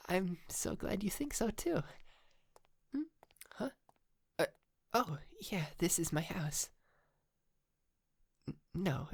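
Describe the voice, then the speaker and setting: A man playing a shy, polite, lovestruck yandere kidnapper in an intimate ASMR roleplay, stammering, hesitant and softly doting. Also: on the high side, creaky, no room sound, clean studio sound, exaggerated voice. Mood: giddy, fond.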